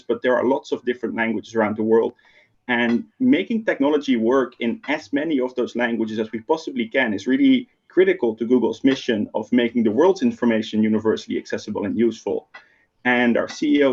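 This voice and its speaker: A man's voice: medium pitch, lo-fi sound. A man from the Netherlands with a slight Dutch accent, giving an online keynote in a conversational way and often asking "right?". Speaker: keynote speaker. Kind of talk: online lecture. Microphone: video call.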